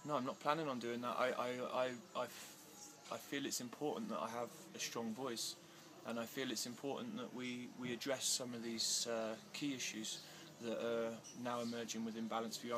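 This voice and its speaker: Male interviewee, mid-pitched, halting delivery with restarts, noisy outdoor ambience. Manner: hesitant, many fillers and restarts, growing agitated. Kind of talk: casual interview answers. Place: outdoors in India.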